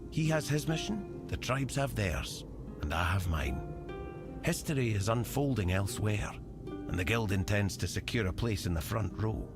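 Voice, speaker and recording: An actor playing a cynical, shadowy guild member in a fantasy game, speaking in a measured, wry, secretive way. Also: male voice, on the low side, expressive. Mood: proud, defiant. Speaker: quest giver in a fantasy game. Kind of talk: scripted fantasy game dialogue. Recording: music behind the voice.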